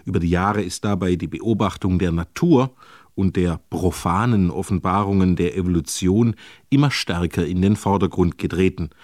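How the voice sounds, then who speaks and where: Male radio announcer for a literary programme: very low, dark-toned voice, formal and measured delivery. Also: dry recording, studio quality. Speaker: radio announcer introducing a poetry reading. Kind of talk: scripted literary introduction.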